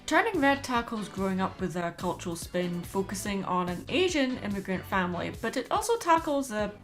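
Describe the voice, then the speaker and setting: A woman narrating a scripted film review as host, with an expressive, opinionated delivery. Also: mid-range voice, brisk. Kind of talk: scripted video essay narration. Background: background music under voice-over.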